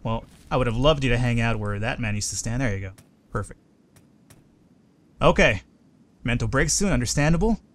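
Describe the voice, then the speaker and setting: A man casually commenting on his strategy game as he plays, talking to his units and swearing now and then, his voice a little hoarse. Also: mid-range voice, a bit bright. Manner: chatty, swears when frustrated. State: slightly hoarse. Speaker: let's play host.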